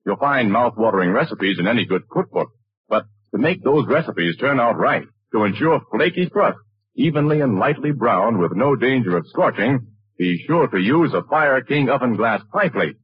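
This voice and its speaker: Animated male vintage radio announcer. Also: medium pitch, moderate pace, husky, no room sound. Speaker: commercial announcer. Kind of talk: scripted old-time radio advertisement. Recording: muffled lo-fi vintage radio broadcast.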